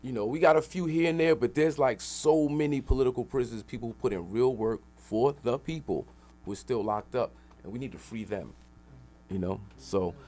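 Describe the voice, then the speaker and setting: A man's voice: medium pitch, darkish tone. A middle-aged man telling stories in an emphatic, streetwise, slangy way as a radio interview guest. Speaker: interview guest, rapper and activist. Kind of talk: animated storytelling in a radio interview.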